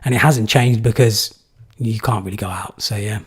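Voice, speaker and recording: Young english guy chatting on a live q&a, bit husky, lots of ums and restarts. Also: lowish voice, normal speed. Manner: casual, rambling, many fillers and restarts. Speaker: YouTube creator answering viewer questions. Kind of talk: live Q&A chat.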